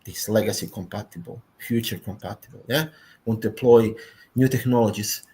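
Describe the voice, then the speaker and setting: A man's voice: fairly low pitch, fairly quick, mellow. A man with a Croatian accent, a native Croatian speaker, speaking as a panelist in a technical discussion, emphatic and often ending points with "yeah?".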